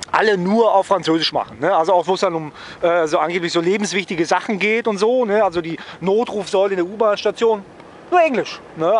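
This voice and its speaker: Higher-pitched man, wry vlogger. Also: slight background noise. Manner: wry, joking, often ends phrases with a questioning "ne?". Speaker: travel vlogger. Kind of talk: casual, rambling vlog chat to viewers.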